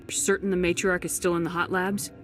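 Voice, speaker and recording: An actress playing a composed, businesslike military agent, the direct-spoken hero of a video game. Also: female voice, fairly low pitch, little pitch movement, a little fast, soft background music, natural voice. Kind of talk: video game cutscene acting.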